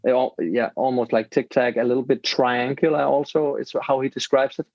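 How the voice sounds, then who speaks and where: A man's voice: medium pitch, steady tone, normal speed, darkish tone, dry recording. A man, a podcast guest telling a story casually in English, searching for words and restarting his sentences. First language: Danish. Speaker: podcast host. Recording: dull lo-fi dry sound.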